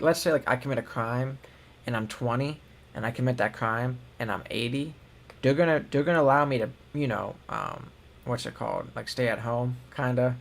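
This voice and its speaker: Gravelly young man chatting news. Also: mid-range voice. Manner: reads headlines then adds loose, filler-heavy opinions. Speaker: news show co-host. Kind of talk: casual news commentary.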